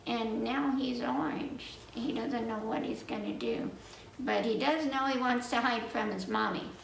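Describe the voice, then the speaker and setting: Slow-paced woman storyteller, distant and roomy. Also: on the high side, vocal fry. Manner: halting, meandering telling with dramatic questions to listeners. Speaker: library storyteller. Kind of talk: children's story time, told from memory. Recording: roomy, distant mic with faint noise.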